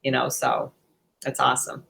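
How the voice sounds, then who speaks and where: A woman's voice: extremely low pitch, little pitch movement, normal speed, creaky, sharp tone. A woman co-hosting a casual interview, talking earnestly and rephrasing as she goes. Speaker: co-host interviewing guests.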